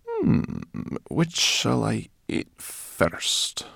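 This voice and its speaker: A prickly brownie girl from a storybook, put on by a man, with a pitch lifted over the reader's usual talk and plenty of gravel. She is greedy, gruff and scornful, growling and hissing her retorts. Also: huge pitch swings, very slow, fairly bright tone, dry recording, studio quality, heavily acted. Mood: grumpy, hungry. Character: gruff, greedy, prickly young female brownie, furry creature.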